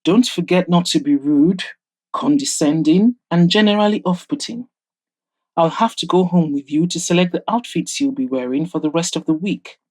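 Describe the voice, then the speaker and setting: A woman voicing an excitable, frank young woman who teases her friend in an audio drama, animated and emphatic. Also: lowish voice, normal speed, close to an everyday voice, rough recording. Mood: bossy, teasing. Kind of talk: audio drama character dialogue.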